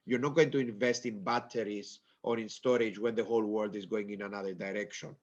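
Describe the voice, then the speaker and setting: A man from Cyprus with a stuffy nose, giving a conference panel talk over a video call, halting and explanatory with many fillers. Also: lowish voice, fairly even intonation, lo-fi sound. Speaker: panel speaker.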